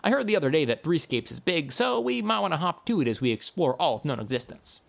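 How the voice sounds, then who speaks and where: Woman putting on a jaunty, theatrical uncle, lively and swooping. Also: low voice, fast, creaky, voice pushed up, heavily acted. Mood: breezy, enthusiastic. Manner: flamboyant, wordy, playful mock-grandiose talk. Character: jaunty, theatrical uncle, a carefree traveling adventurer.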